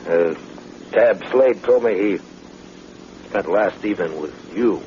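An actor playing a stoic, terse American marshal in the Old West, in old-time radio western style. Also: male voice, on the low side, measured pace, mellow, close to an everyday voice. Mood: businesslike. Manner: terse, plain-spoken, unflinching. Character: stoic, hard American Old West lawman, grown man. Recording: muffled, noisy vintage radio recording.

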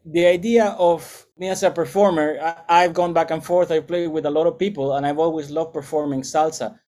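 Middle-aged male music teacher, slightly high voice, measured and explanatory, restarts often. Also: steady tone, warm tone. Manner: thoughtful, lecturing, with frequent restarts. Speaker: music educator and salsa band leader. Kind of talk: explanatory interview answers.

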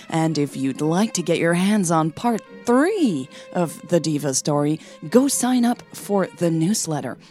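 A woman's voice: medium pitch, darkish tone. A woman hosting an audio fiction podcast, with music behind her voice. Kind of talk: scripted story narration and casual outro chat.

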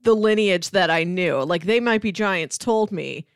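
A woman's voice: lowish voice, expressive, a little slow, clean tone, dry recording, studio quality. A woman chatting casually as co-host of a film review podcast.

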